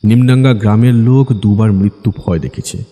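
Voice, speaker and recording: A man's voice: fairly low pitch, brisk, dark tone, long reverb tail. A man reading scripted narration for an audio ghost story.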